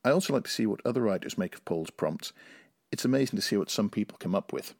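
A middle-aged man from Northern Ireland with a very low, slightly rough voice speaks as a writer on a podcast. The sound is a little roomy. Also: expressive, fast, a little mellow, clean studio sound. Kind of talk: interview answers and reading own short story.

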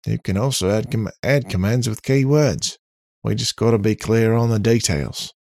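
A man voicing a cheeky, cocky, talkative robot in an animated, boastful, slangy way for an audiobook. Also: lowish voice, normal speed, creaky, no echo, studio quality, pitched above the performer's own voice. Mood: chatty. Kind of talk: audiobook character voice.

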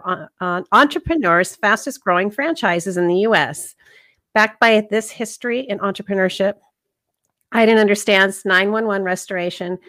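A woman's voice: mid-range voice, a bit bright. A woman hosting a health coaching podcast, reading a scripted intro and then chatting with a guest in an upbeat manner.

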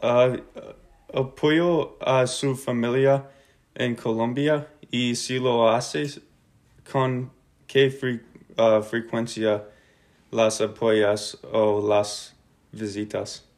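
A man co-hosting a podcast, reading interview questions in a slow, halting way. His voice sits at an ordinary middle pitch. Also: little pitch movement, a little mellow. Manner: hesitant, halting delivery. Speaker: podcast co-host.

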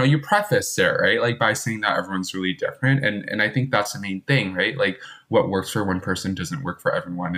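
Animated low-voiced podcast host man. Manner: animated, lots of "like" and "right?". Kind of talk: casual chat.

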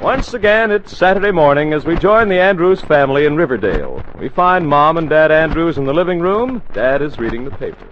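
High-pitched vintage radio announcer man. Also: sing-song, fairly quick, few pauses, background noise. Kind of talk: 1940s radio announcing, scripted. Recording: muffled 1940s broadcast with crowd din.

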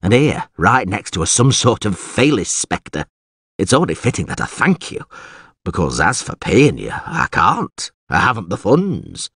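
Man playing a blustering, excitable alderman, wildly swooping voice. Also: on the low side, unhurried, husky, no echo, clean studio sound, heavily acted. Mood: blustering, chatty. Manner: exclamatory, blustering, hesitating with uhs. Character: podgy, blustering, hot-tempered small-town alderman. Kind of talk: audiobook character acting.

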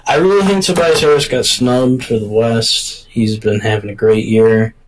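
A man hosting a casual sports talk show, speaking in a deliberate, halting way. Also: mid-range voice, fairly even intonation, recorded from a distance, a little hiss or hum, rough recording. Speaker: sports podcast host.

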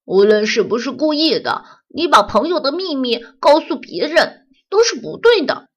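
A woman voicing a kind, older wolf friend in a children's audio story who reasons calmly and firmly. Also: high voice, normal speed, slight background noise, pitched above the performer's own voice. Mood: gently firm. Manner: calm, reasoning, gently firm.

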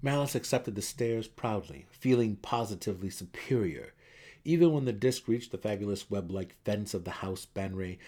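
A man's voice: low-pitched, dark tone. A man narrating a fantasy audiobook dramatically, with theatrical emphasis.

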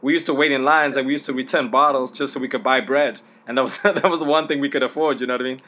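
Fast-talking upbeat male interview host. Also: mid-range voice, fairly even intonation, sharp tone. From Zimbabwe. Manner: upbeat, slangy, quick reactions like "that's dope". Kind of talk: casual interview.

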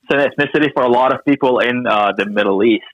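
A man's voice: mid-range voice, quick pace, fairly bright tone, no room sound, highs cut off. Podcast guest: young man from Syria, dry wit, very close dry sound. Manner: dry, joking storyteller. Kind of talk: casual chat.

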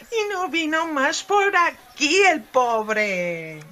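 A woman playing a slow, animated, teasing confidante. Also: high-pitched, exaggerated voice. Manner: knowing, teasing. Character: teasing, worldly female confidante of a lovestruck girl. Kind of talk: humorous radio soap acting.

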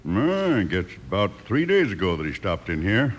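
An affable frontier townsman, with a lowish American voice that rises and falls freely. He is polite, folksy and obliging, in the style of old radio western acting. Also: huge pitch swings, moderate pace. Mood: concerned. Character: affable, folksy small-town frontier businessman.

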